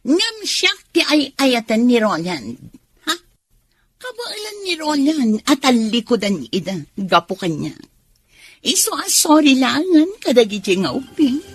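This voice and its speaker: A haughty radio-drama mistress, defiant and selfish. Her husky voice runs high and swoops widely, and she stretches her words slowly in a dry studio. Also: big pitch swings, no echo, exaggerated voice. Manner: haughty, drawn-out dramatic delivery. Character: defiant, selfish mistress clinging to a married man.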